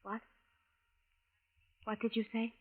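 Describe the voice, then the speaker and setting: An actress playing a polite, gushing young wife from the future, prim and formal in 1940s radio style. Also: female voice, a little high, monotone, takes it slowly. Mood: earnest. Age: 20s. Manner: prim, formal, precise, few contractions. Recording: narrow, muffled vintage radio recording.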